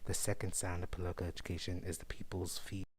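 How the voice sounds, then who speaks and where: A man hosting an ASMR-style news show, talking softly in a put-on posh British voice and laughing often. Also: deep voice, level tone, fast.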